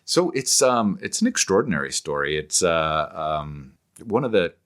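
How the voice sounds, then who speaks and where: A man with a deep, low voice talks as a guest in an interview. He explains in a scholarly, discursive way, often pausing mid-phrase to find the next word before carrying the thought on. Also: very animated, a bit bright. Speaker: podcast guest, author on philosophy and literature.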